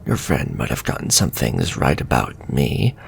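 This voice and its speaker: A man voicing a sly, sadistic warlock villain in a flirtatious ASMR fantasy roleplay, with a slow, teasing, half-whispered delivery. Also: extremely low pitch, wildly swooping pitch, creaky, exaggerated voice. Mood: smug teasing.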